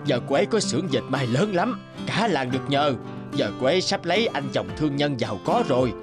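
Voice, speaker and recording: A man's voice: medium pitch, lively intonation, brisk, over music, close to an everyday voice. A man playing a gossipy elderly villager in a cartoon, with a blunt, folksy, chatty manner. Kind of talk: dubbed cartoon acting.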